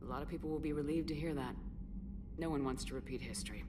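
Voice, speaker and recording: An actress playing a steady, no-nonsense female space commander in a video game, firm, dry and matter-of-fact. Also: deep voice, fast, distant mic, noisy background, lightly acted. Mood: measured.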